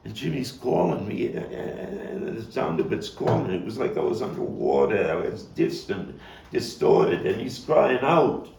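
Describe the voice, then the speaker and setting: In a noisy, roomy space some way from the mic, a man acts out a volatile street gang youth bent on revenge. The low-pitched voice delivers a stage monologue slowly and haltingly, with profane intensity and repeated words. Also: vocal fry, a little mellow, exaggerated voice. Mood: anguished, shaken. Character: volatile, vengeful male street gang youth recounting a bloody fight. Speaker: actor and playwright performing his own monologue. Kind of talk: dramatic stage monologue at a table read. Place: echoey room, table read. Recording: echoey, noisy room, distant mic.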